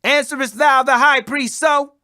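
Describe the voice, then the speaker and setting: A man doing shrill, accusing temple servants. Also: steady tone, normal speed, pushed and bright, no room sound, clean studio sound, full character voice. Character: indignant accusing servants and officers of the high priest.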